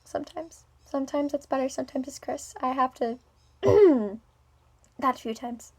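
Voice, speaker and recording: A woman's voice: sits high, moderate pace, a little mellow. Rambling young woman vlogger, hesitant. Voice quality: speech impediment. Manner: hesitant, many ums and restarts; switches between smooth business tone and playful voice. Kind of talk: rambling personal vlog.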